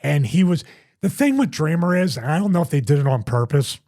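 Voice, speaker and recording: A man's voice: on the high side, expressive, a little fast, dark tone. A middle-aged man hosting a casual podcast, telling long rambling stories with restarts and repeats. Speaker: host, former wrestler.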